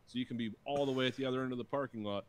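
A man's voice: medium pitch, fairly even intonation, very fast, very noisy. A man in his forties hosting a podcast from a dim home studio, rambling through crude banter and swearing freely. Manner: rambling storyteller, swears freely, mimics people. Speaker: podcast host. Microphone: studio mic on boom arm with headphones.

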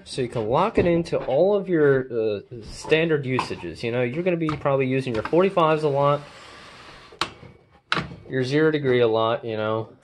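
A man's voice: mid-range voice, background noise. A man casually walking viewers through unboxing a tool indoors, talking in a chatty, informal way with many fillers and restarts, filmed on a handheld phone. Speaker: video host doing a tool unboxing. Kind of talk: casual hands-on demonstration. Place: indoor room with wooden workbench. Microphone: handheld phone camera, first-person view.

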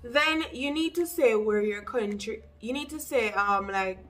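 Chatty Jamaican woman with a higher voice. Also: measured pace. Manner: chatty and direct, with lively asides. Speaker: English teacher and vlogger. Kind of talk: casual advice vlog to camera. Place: small room indoors.

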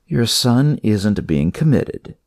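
A man voicing a calm, reassuring hospital doctor in a story reading, firm and explanatory. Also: on the low side, slow pace, no room sound, clean studio sound, full character voice.

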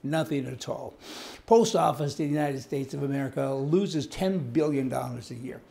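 Folksy gravelly older man. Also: medium pitch, moderate pace, dark tone. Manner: folksy, indignant, rambling asides. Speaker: commentary host. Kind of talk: opinionated news commentary to camera. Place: indoor studio set with wood-panel wall.

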